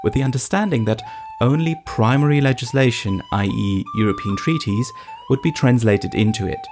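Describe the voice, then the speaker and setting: A man from eastern Germany narrating a reported feature in a measured, scripted way. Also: medium pitch, music in the background. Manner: measured, storytelling delivery.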